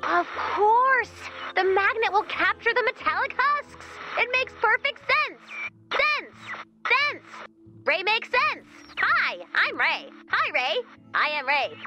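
A woman voicing an excitable, scatterbrained female robot assistant in a video game, babbling cheerfully and awkwardly. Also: very high voice, slow pace, over loud music, exaggerated voice. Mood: excited, scatterbrained. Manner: chirpy, babbling, repeats words, awkward. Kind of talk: video game character dialogue.

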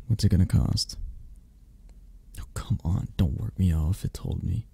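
Casting: male actor as a domineering mafia boss for ASMR roleplay, dark husky voice, flirty and patronizing. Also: deep voice, a little slow, heavily acted. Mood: impatient, bargaining. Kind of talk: intimate ASMR roleplay acting.

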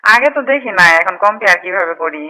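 A woman's voice: medium pitch, expressive, fast, bright, forceful tone. A Bangladeshi woman chatting casually on a recorded phone call, with brief replies and teasing questions.

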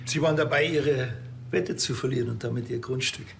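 A man's voice: medium pitch, a little fast, gravelly, noisy background, close to an everyday voice. A man with a Bavarian accent playing a dry, bossy, matter-of-fact police officer in a TV crime comedy. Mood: dry, probing.

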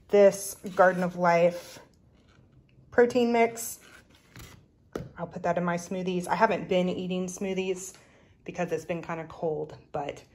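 A woman's voice: lowish voice, darkish tone. A woman in her thirties, a family vlogger talking casually through a grocery haul and listing prices, filmed on a phone at home. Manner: chatty, lists items with prices. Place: home kitchen, indoors.

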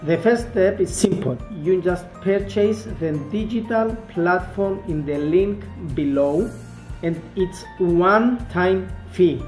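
A middle-aged man with a Cypriot Greek accent, Greek being his first language, delivers a measured, persuasive scripted pitch straight into a close camera. The small office rings back with noticeable room echo. A faint music bed runs quietly underneath. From Cyprus. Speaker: wellness consultant pitching an online course. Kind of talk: scripted promotional monologue to camera. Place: small indoor office, seated at a desk.

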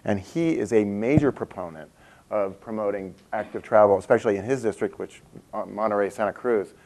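A man's voice: fairly low pitch, brisk, a little mellow, poor audio. Man in his fifties, conference keynote, chatty and joking, quizzes the crowd, large meeting room. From Montana. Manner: chatty, asks the audience questions, laughs at his own stories. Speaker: keynote speaker.

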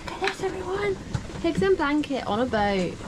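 A woman's voice: very high-pitched, sing-song, moderate pace, noisy background. Laughing woman filming aboard a sailboat. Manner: laughing, teasing banter. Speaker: sailing vlogger filming crew. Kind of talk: casual chat. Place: sailboat on open ocean, outdoors and in cabin.

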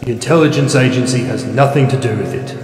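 An actor playing a stern, commanding military marshal with formal speech in a science fiction audio drama. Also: male voice, mid-range voice, fast, a little mellow, lightly acted. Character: stern, authoritative male military marshal presiding over a tribunal. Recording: echoey large hall reverb.

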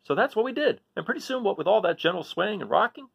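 A man's voice: high voice, sing-song, fast, gravelly, breathy edge, dull audio, voice pushed up, heavily acted. A man voicing a folksy little dog who narrates a scary adventure in rural American dialect, as in a children's read-aloud. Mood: calm.

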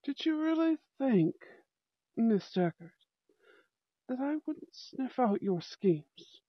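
Woman as a slow-talking, haughty young school prince. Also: a little high, very animated, no room sound, highs cut off, exaggerated voice. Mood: stern, commanding. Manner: haughty, formal, commanding. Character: young male bad-boy school prince, protective, aloof. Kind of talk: amateur ASMR audio roleplay acting.

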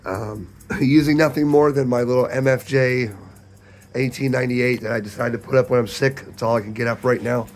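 A man with a hoarse, sick voice, casually chatting about his ham radio setup at night. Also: medium pitch, steady tone, brisk, slight background noise. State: hoarse, losing his voice from illness. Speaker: ham radio video host. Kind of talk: casual vlog narration with radio contacts. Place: home radio shack at night.

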